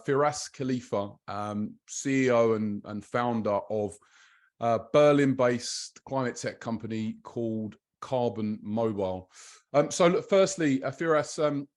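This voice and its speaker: A man hosting a podcast interview, talking conversationally with many fillers and hesitations. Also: mid-range voice. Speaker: podcast host.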